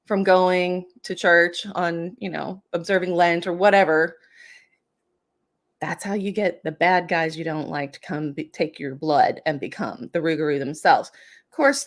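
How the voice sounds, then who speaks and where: A woman hosting a casual storytelling show, talking through folklore in a chatty, wry way with tongue-in-cheek asides. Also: fairly low pitch. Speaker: host and storyteller.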